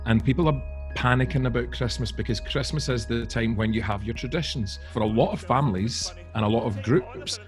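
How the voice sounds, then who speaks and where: A man giving measured, conversational comments as an interviewee. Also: medium pitch, soft background music.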